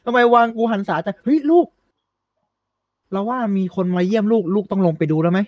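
A man's voice: high voice, brisk, no echo, highs cut off, close to an everyday voice. Man playing a chatty, doting comic father king. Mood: coaxing. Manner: chatty, teasing, coaxing. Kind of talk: improvised comedy podcast storytelling.

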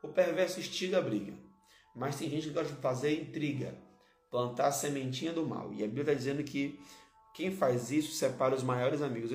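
A hoarse male pastor, preaching a sermon and repeating key phrases to his listeners. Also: mid-range voice, roomy sound.

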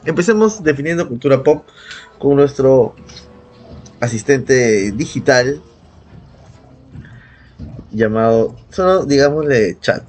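A man's voice: medium pitch, animated, slow pace, long pauses, darkish tone. A man hosting a podcast in casual, humorous chat, with an irreverent, profane way of talking. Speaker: podcast host.

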